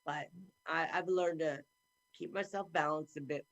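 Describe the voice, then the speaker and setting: A middle-aged woman hosting a talk show over a video call, with a deep, carrying voice, effusive and chatty. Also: a little slow, no room sound. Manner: effusive and warm, talks a lot. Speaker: host. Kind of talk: casual chat. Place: indoor room at home.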